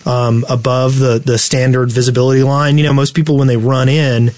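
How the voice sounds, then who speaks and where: A man's voice: medium pitch, flat delivery, a little fast, darkish tone, no room sound. Talkative man, dry close sound. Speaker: podcast co-host. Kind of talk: casual gaming podcast chat.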